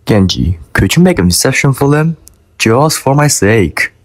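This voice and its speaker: A man playing a shrewd, self-assured gentleman thief who investigates with knowing questions, in an amateur radio drama. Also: mid-range voice, sing-song, moderate pace. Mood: coaxing. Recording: faint music behind the voice.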